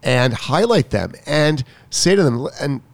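A man's voice: medium pitch, expressive, a little slow, creaky. A man who coaches tennis, co-hosting a casual chat, earnest and often restarting his sentences. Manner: earnest, interrupts himself and restarts.

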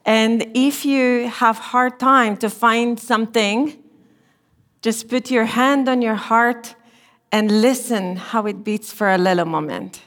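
A middle-aged woman from Romania with a Romanian accent, giving a gentle, unhurried chapel talk as a therapy lecturer. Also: a little high, steady tone. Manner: gentle, unhurried, slightly non-native phrasing. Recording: long reverb in a chapel hall.

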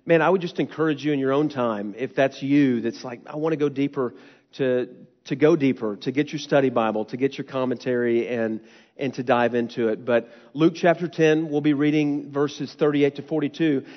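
A man's voice: mid-range voice, fairly even intonation, normal speed. A young male pastor preaching with a stuffy, nasal voice from a lingering cold. He talks to the congregation in an earnest, casual way. The sound is a little muffled, with a long reverb trailing through the hall. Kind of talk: sermon. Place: church hall.

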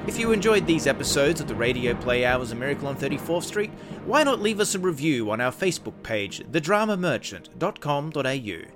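A man's voice: on the high side, very animated, fairly quick, few pauses. A man reading a scripted promotional outro as an announcer. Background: music.

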